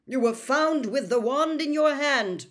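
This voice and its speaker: A woman's voice: mid-range voice, lively intonation, moderate pace, pitched above the performer's own voice, natural voice. Woman as a blustering, barking male wizard official. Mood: stern, accusing. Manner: barking, interrogating, stern.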